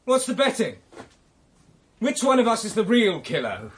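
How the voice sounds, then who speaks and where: An actor in a radio drama playing a bitter, mocking adopted son who talks in a blunt, sardonic, goading way. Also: male voice, sits high, wildly swooping pitch, normal speed, husky, exaggerated voice. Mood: taunting. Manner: sardonic, colloquial, challenging.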